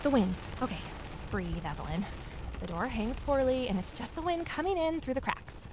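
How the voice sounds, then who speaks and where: A woman's voice: fairly high pitch, lively intonation, moderate pace, noisy background, natural voice. An actress playing a guarded, lonely amateur sleuth who narrates her own mystery in an introspective audio drama style. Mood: uneasy.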